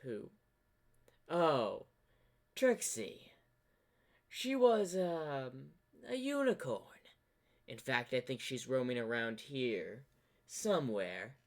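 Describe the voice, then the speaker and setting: Man playing a slow, sly corrupted zebra spirit. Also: medium pitch, sing-song. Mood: amused, musing. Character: sly ancient corrupted zebra spirit, smug, bored manipulator. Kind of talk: fan-made fantasy cartoon voice acting.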